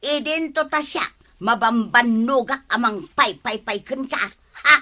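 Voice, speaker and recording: An actress playing a boastful, quarrelsome village wife in an Ilocano radio comedy, with an Ilocano accent and exclamatory, drawn-out delivery. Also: female voice, a little high, bright, forceful tone. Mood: complaining, excitable.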